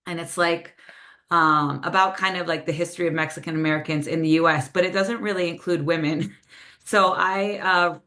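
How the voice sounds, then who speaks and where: A woman with a slightly low voice, whose first language is Spanish, reads her poems over a video call. Between poems she talks loosely and hesitantly, full of "um" and "like." In the poems she slows down and drops in Spanish words. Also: steady tone, pushed and bright. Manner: slower, measured delivery with Spanish phrases when reading poems. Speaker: poet reading her own work. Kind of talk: poetry reading with casual spoken introductions.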